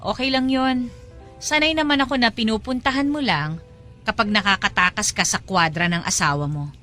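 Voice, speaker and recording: An actress playing a hurt, defiant young mistress in an emotional Tagalog audio drama, pleading and confrontational. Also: female voice, medium pitch, normal speed, sharp tone, faint music behind, close to an everyday voice. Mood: bitter, hurt.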